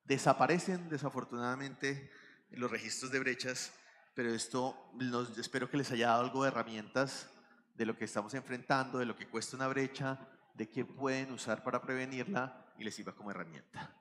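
A man's voice: medium pitch, moderate pace, fairly bright tone, a little hiss or hum. Colombian man speaking in a big hall. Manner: explanatory, addresses audience with questions. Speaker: conference speaker. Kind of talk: tech conference talk. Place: large conference stage. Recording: reverberant hall, faint ambience.

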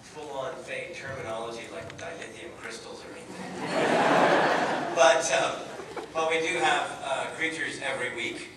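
A man's voice: medium pitch, measured pace. A man actor joking on a convention panel and acting out little quotes. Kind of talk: casual panel talk. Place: large convention hall, audience. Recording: echoey hall from far off, noisy.

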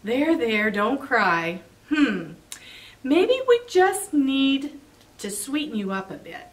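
A woman's voice: high-pitched, very animated, takes it slowly, heavily acted. A woman reading a picture book aloud and voicing a sly, easygoing male fox in a teasing, coaxing way. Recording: voice a bit off-mic.

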